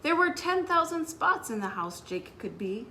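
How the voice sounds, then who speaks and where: A woman's voice: sits high, big pitch swings, moderate pace, bright, forceful tone, slight background noise. A woman speaking as a children's librarian, hosting story time with announcements and read-aloud for young listeners.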